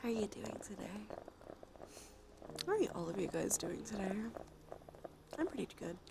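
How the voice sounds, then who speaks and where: A woman with a stuffy nose chatting softly and sleepily on an ASMR livestream, casual and playful, in whispers and quiet talk. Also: mid-range voice, sing-song, moderate pace, noisy background. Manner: soft, breathy whispering mixed with quiet talk; playful, casual, swears freely. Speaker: live streamer.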